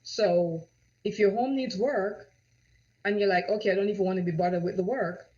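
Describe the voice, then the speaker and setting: A woman from Jamaica with a Jamaican accent, a real estate agent pitching her home-selling guarantee, full of rhetorical questions and a repeated "right?". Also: a little mellow. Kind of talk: direct-to-camera sales pitch. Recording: dull lo-fi audio.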